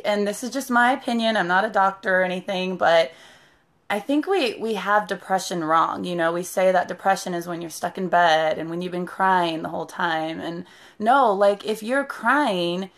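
A woman in her thirties, a therapist, with a raspy, hoarse voice, talking casually to viewers on a live stream and greeting them by name. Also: medium pitch. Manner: chatty, greets viewers by name mid-sentence. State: hoarse from shouting. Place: living room with sofa, indoors. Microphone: phone camera at arm's length.

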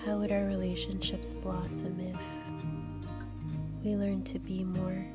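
A woman leading a guided meditation in a gentle, soothing, unhurried manner. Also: medium pitch, little pitch movement, loud music behind the voice. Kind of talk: slow guided meditation narration.

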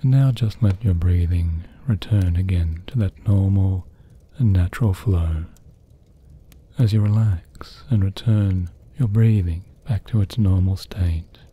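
A man narrating a guided sleep meditation in a soft, breathy, soothing voice, gently hushed, with long pauses between phrases. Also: deep voice, muffled recording.

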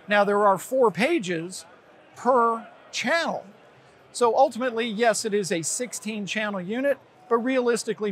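An American man in his sixties with a husky voice, presenting a product to camera in an unscripted, explanatory, conversational way. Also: high voice. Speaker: product presenter, company representative. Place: large indoor trade show hall, at a booth.

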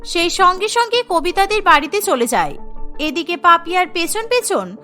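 A woman narrating a cartoon story in a plain, even storytelling manner. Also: very high-pitched, moderate pace, faint music behind.

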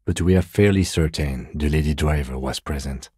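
An actor playing a composed, dry-witted police lieutenant in a video game, measured and formal, with a husky voice. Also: male voice, a bass voice, dark tone, no echo. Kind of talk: scripted game dialogue.

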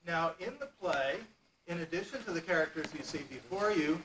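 A man in his forties giving a formal, measured introduction to a stage play, captured on an amateur camcorder. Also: on the high side, distant mic. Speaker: master of ceremonies introducing a play. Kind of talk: prepared introductory speech to an audience. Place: indoor convention hall, standing before stage curtain, distant camera. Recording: echoey, noisy amateur camcorder from afar.

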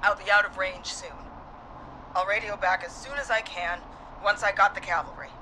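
Harried cop on noisy radio. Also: female voice, mid-range voice, normal speed, bright, forceful tone. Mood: urgent. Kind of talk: video game voice acting. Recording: noisy radio transmission from a vehicle.

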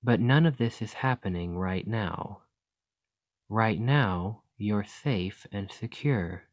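A man playing an earnest, chatty scholar in an ASMR roleplay, speaking gently and hesitantly as he rambles and interrupts himself. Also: lowish voice, takes it slowly, no room sound. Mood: reassuring. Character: earnest, rambling male scholar gently reassuring a captive creature.

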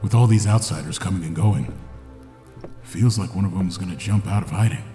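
A man's voice: low voice, brisk, warm tone, faint music behind, close to an everyday voice. An actor playing a wary, sarcastic man in an audio drama, with a dry, sardonic manner. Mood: uneasy. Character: uneasy, sarcastic man showing off his backroom.

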